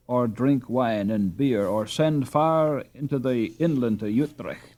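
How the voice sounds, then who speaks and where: A man reading a classic novel aloud as an audiobook narrator, in a measured, expressive storytelling delivery. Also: medium pitch.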